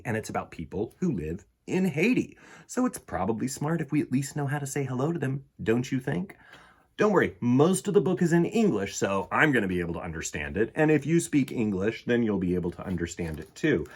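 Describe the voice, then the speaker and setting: Guy doing kids storytime, bit raspy, really animated up and down delivery, dramatic pauses. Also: mid-range voice. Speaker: storytime host reading a picture book. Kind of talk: expressive children's story reading. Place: small indoor room in front of bookshelves.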